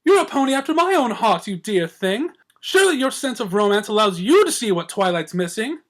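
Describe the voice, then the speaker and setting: A man's voice: high-pitched, sing-song, brisk, voice pushed up. A man voicing a grand, gushing, romantic female unicorn in a story reading, theatrical and haughty. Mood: dramatic, indignant. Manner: theatrical, haughty, effusive, says "darling".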